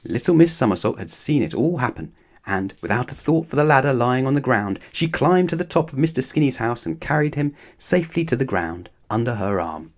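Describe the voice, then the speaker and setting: A man reads a children's story aloud in a mid-pitched voice. His delivery is very animated, rising and falling widely as he addresses young listeners. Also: fairly quick. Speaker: storybook narrator.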